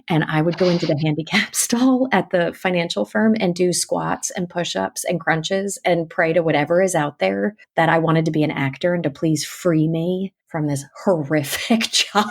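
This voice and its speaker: A woman's voice: lowish voice, moderate pace, vocal fry, few pauses, husky. A woman, an audiobook narrator, animatedly telling her story in a casual interview over the internet, laughing and acting out quotes. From Northeastern Pennsylvania. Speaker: audiobook narrator and actor, guest. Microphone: remote call over the internet.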